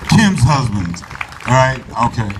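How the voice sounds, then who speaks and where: A man giving an earnest, folksy address to a crowd at an outdoor rally in a park, speaking loudly into a microphone and urging people to come closer. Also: medium pitch, big pitch swings, slow pace. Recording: voice from far off the mic, faint background noise.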